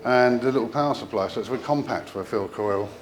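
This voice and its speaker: A man giving an informal technical product explanation as a company representative, in a hesitant, halting way with trailing asides. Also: mid-range voice, a crowd in the background, rough recording.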